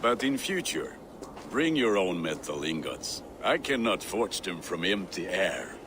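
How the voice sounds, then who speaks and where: Deep, melodramatic Norse blacksmith. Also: male voice, sing-song, unhurried, noisy background. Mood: boastful. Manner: melodramatic, boastful. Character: lovelorn proud Norse village blacksmith and weapon seller. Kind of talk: video game character acting.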